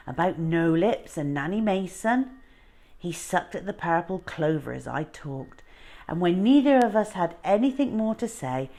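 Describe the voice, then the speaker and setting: Lively, lower-pitched woman reading a story. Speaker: children's story time host. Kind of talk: expressive storybook narration.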